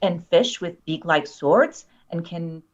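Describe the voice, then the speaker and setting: Casting: woman to play blunt bookish sorceress, lowish voice, pedantic, muffled lo-fi mic. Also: expressive, slow. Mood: fussy, contradicting. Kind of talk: table read of a screenplay.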